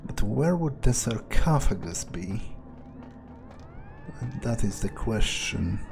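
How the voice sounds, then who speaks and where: Man playing a slow, low-voiced, muttering vampire. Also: very animated, creaky, music in the background, full character voice. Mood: musing, wary. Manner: muttering, hesitant, musing with pauses and swearing. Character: wary, crude, sardonic male vampire sneaking around. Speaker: gamer playing in character. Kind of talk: improvised in-character video game roleplay, thinking aloud.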